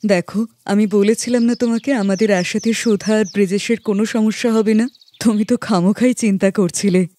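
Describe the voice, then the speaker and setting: An actress plays an elder sister and young mother in a cartoon tale. The voice is soft-toned and mellow at a medium pitch, earnest and familiar, over faint noise. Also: steady tone, moderate pace, close to an everyday voice. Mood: reassuring. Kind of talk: cartoon moral story acting.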